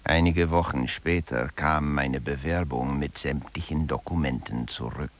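A man playing a wry, pedantic education scholar who expounds his theory in deliberate, theatrically emphatic scripted narration. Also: a bass voice. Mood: wry reminiscence. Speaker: actor playing the theorist-author in an audio drama. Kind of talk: scripted first-person lecture-like narration.

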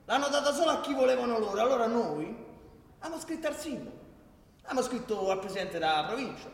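An actor playing a bitter, sarcastic working-class resident in a radio play, indignant and speaking with a Roman accent. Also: male voice, very high-pitched, lively intonation, fast. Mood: bitter, aggrieved. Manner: sarcastic, indignant, listing grievances. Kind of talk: theatrical radio play dialogue. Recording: echoey hall.

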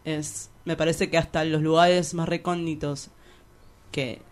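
A woman's voice: low-pitched, level tone, measured pace. A young Argentine woman with a Rioplatense accent, giving a casual interview in a rambling, hesitant, slangy and giggly way. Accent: Rioplatense Spanish. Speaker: interview guest, poet.

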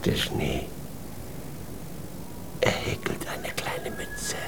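A man playing a dreamy, skeptical husband in a children's radio drama, speaking in a gravelly, musing, half-whispered murmur. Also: very slow. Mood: wistful, sleepy. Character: dreamy, skeptical husband called Papa, fond of whimsy.

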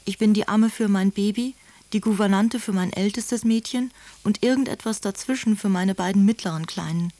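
An actress playing a gentle, devoted aristocratic sister and young mother, speaking letters politely and effusively in a German radio play. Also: medium pitch, normal speed, no echo, natural voice. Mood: affectionate, apologetic.

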